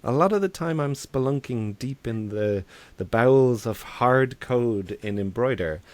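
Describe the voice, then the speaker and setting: A man's voice: medium pitch, lively intonation, measured pace, dry recording. A man interviewing colleagues in a casual, informal, chatty way. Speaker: interviewer, software engineer.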